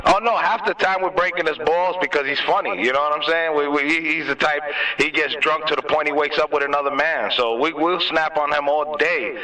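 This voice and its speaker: A man's voice: a little high, fairly even intonation, a little fast, hardly any pauses, sharp tone. A man chatting casually on a radio, using slangy, teasing talk. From New York. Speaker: CB radio operator. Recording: noisy, distant-sounding two-way radio transmission.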